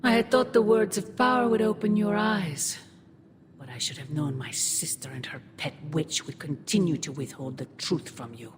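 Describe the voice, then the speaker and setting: A woman playing a sinister demonic mother in a game cutscene, possessive and tender, with breathy, theatrical delivery. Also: on the low side, moderate pace, noisy background, natural voice. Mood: menacing tenderness. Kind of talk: dramatic game cutscene acting. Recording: music behind the voice.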